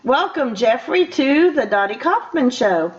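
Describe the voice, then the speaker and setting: A woman's voice: sits high, very animated, a little slow, dull audio. An older woman hosting a casual radio interview, chatty and rambling as she talks to her guest. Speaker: radio show host.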